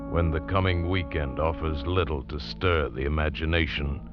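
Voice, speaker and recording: A man intoning a dramatic radio promo, slow and theatrical. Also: a bass voice, fairly even intonation. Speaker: radio announcer. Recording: dull 1940s broadcast with music behind.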